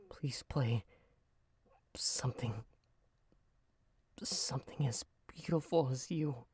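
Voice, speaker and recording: A man playing a frail, exhausted, tender nobleman in an audio drama, speaking haltingly in a husky, breathy voice. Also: lowish voice, a little mellow, no echo, full character voice. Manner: halting, slow, pleading.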